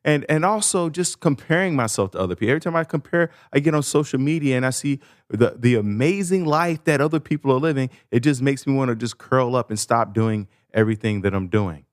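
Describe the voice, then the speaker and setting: A man's voice: medium pitch, animated, a little fast, no echo, studio quality. A man hosting a podcast, talking informally and conversationally with playful, slangy asides. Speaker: podcast host. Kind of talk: casual conversational podcast ad read and interviewing.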